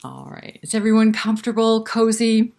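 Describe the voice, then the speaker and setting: A woman's voice: on the high side, animated, moderate pace, vocal fry, a little mellow. A woman hosting a library story time, reading a picture book expressively and chatting to young listeners with questions. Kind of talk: picture book read-aloud with asides to children.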